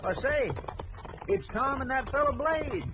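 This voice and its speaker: A man's voice: extremely high pitch, unhurried, background noise. A man playing a folksy, deferential deputy sidekick in a radio western, with a rural American drawl and a homespun, mildly complaining manner. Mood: puzzled. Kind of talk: 1950s radio western acting. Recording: muffled old radio recording.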